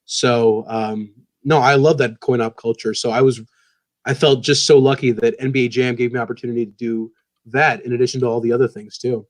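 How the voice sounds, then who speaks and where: Fast-talking enthusiastic man. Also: mid-range voice, steady tone. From born in the US, grew up in Pakistan. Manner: enthusiastic, talkative, many fillers. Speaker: guest author. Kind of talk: casual podcast chat.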